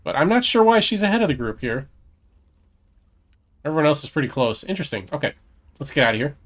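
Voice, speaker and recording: A man's voice: on the high side, very animated, quick pace, a bit bright. A man giving casual commentary on a video game he is playing, sometimes reading game text aloud.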